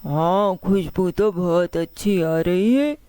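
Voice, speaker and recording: A man voicing a friendly, polite, hungry elephant with a smooth, mellow voice in a children's cartoon. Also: sits high, slow, dry recording. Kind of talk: children's cartoon story voice acting.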